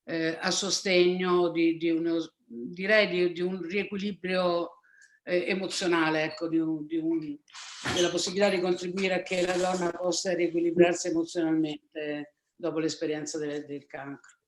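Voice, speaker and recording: A woman's voice: on the low side, level tone, normal speed. A woman psychologist introducing herself on a video call, carefully searching for precise wording with restarts. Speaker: psychologist and horsewoman. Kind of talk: formal self-introduction.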